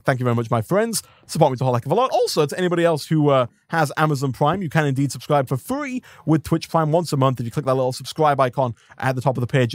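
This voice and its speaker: A man commentating his own live gameplay stream in an upbeat, rambling way, thanking viewers, his voice a little hoarse. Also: mid-range voice, no echo, clean studio sound. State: slightly hoarse.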